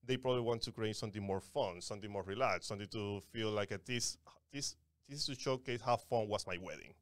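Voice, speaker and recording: A man from Venezuela with a Venezuelan Spanish accent, a wedding content creator guesting on a podcast, speaking halting English with restarts on a studio mic. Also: fairly low pitch, dry recording. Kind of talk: casual podcast interview. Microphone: studio mic on boom arm, headphones.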